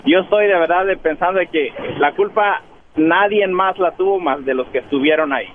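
A man calling in to a radio show by phone, giving opinions casually in a halting, rambling, repetitive way. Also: sits high. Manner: halting, rambling, repeats himself. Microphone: phone call. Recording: tinny, noisy phone line.

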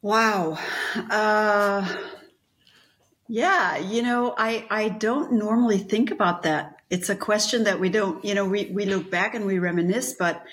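A woman's voice: medium pitch, unhurried, fairly bright tone. A middle-aged woman from Denmark, a guest on an interview podcast, talking in a chatty, rambling way with fillers and restarts. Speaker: guest, leadership coach. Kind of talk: casual interview chat.

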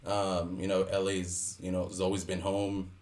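A man's voice: sits low, completely flat delivery, moderate pace, a little mellow. A man in his thirties, born in Mexico and raised in Los Angeles, chatting casually as a podcast guest, laid-back and slangy with lots of fillers. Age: 30s. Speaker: guest entrepreneur. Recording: roomy sound, off-mic.

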